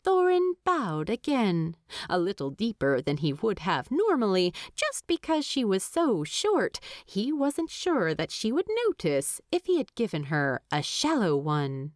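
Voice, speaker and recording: A woman narrating a story from a script in an expressive storytelling style. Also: mid-range voice, measured pace, dry recording, clean studio sound.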